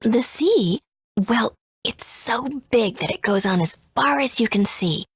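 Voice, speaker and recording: A woman voicing a gentle, soothing young mother in a video game, with a breathy voice. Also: fairly high pitch, expressive, unhurried, dry recording, studio quality, exaggerated voice. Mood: tender. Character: gentle, loving young mother telling a story.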